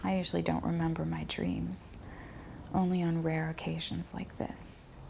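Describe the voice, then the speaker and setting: A woman's voice: sits low, flat delivery, normal speed, gravelly, darkish tone, a little hiss or hum. A woman playing an anxious, guilt-ridden daughter who recalls family secrets in a hesitant, intimate dramatic monologue. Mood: uneasy, haunted.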